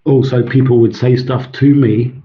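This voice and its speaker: A man's voice: mid-range voice, fairly even intonation. Thoughtful Swedish-born man, dull audio. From Sweden. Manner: thoughtful, many pauses and fillers. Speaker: teacher and author, guest. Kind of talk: interview, casual talk.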